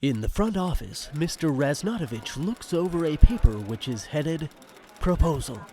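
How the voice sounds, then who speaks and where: Animated male audio drama narrator. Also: fairly high pitch, normal speed, loud music behind the voice.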